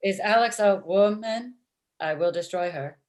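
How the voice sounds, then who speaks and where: A woman's voice: medium pitch, unhurried, a little mellow, natural voice. Blunt lovestruck robot played by a woman, mid-range voice, stilted machine-like delivery, sitcom script read on video call. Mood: blunt, insistent. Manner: stilted, uncontracted, machine-like phrasing. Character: blunt, lovestruck robot matchmaker with literal speech. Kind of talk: table read of comedy script.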